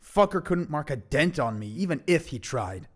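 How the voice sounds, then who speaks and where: A man's voice: a little high, very animated, moderate pace. A man playing a tough, irritable teenage boy lynx in an audio drama, dismissive and foul-mouthed but secretly caring. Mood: angry, defiant.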